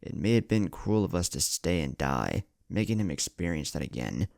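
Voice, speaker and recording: Man reading as a clipped, low-voiced ninja woman. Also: sing-song, normal speed, vocal fry, a little mellow, no echo, clean studio sound, exaggerated voice. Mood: quiet, sombre. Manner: clipped, clinical. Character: stern, pragmatic young female rogue ninja.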